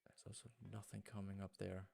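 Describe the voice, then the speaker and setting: Male streamer, German native, deep and flat delivery, casual running chat with viewers. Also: brisk, creaky, husky. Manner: thinking aloud, greeting chat viewers. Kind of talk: casual gaming livestream chat.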